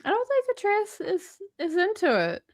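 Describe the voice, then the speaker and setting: A woman's voice: very high-pitched, big pitch swings, moderate pace, dry recording. A woman chatting casually as a guest on a livestream.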